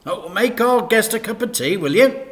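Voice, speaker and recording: A man's voice: sits high, animated, moderate pace, voice pushed up. A man voicing a blustering, emphatic dad in a children's storybook. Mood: falsely hospitable. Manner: loud, emphatic, exclamatory. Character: blustering dad, falsely cheery with guests.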